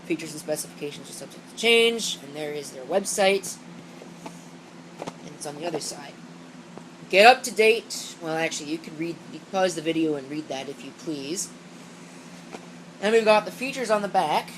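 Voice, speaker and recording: A woman with a low-pitched voice talks through a product in a plain, rambling way. She reads details off and corrects herself as she goes. The amateur camera microphone picks up a steady noise behind her. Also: moderate pace, pauses often, low-quality recording. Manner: rambling, matter-of-fact, self-correcting. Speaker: gadget reviewer showing an unboxing. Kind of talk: casual unscripted product walkthrough, reading packaging aloud. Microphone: built-in camera microphone. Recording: steady background hum, amateur camera audio.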